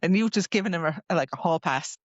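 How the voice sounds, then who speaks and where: A woman's voice: fairly low pitch, animated, fast, no room sound. Female co-host for a book podcast, playful and giggly in casual chat, very dry, slightly muffled recording. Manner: giggly, playful reactions and mock quotes. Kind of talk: casual chat about a fantasy romance novel.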